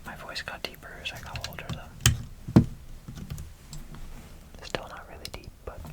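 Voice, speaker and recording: A man whispering casual, rambling ASMR chatter with many fillers while building bricks, his voice slightly nasal. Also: unhurried. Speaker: ASMR creator.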